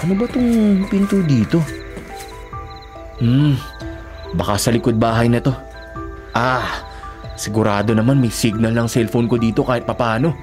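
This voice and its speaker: A man's voice: medium pitch, lively intonation, moderate pace, pauses often, a little mellow, close to an everyday voice. An actor playing a naive, anxious young man from the provinces, polite and given to stammering, in a radio drama. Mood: puzzled, muttering. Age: young adult. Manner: stammering when startled, polite. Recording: music bed under the voice.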